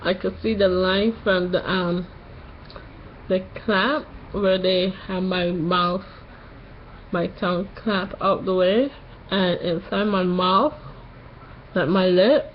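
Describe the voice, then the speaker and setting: Young woman, post-surgery sore throat, slow halting delivery, slightly nasal, muffled recording. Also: lowish voice, steady tone, a little hiss or hum. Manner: slow, halting, pauses often. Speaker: vlogger. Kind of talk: casual vlog to camera.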